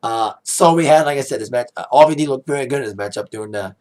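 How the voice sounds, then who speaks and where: Hoarse man rambling through a review. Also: medium pitch, fast talker, a little mellow. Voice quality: raspy. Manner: rambling, heavy on "like", "uh" and "you know". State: hoarse, voice fading after a DJ gig. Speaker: wrestling pay-per-view reviewer. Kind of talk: casual spoken review.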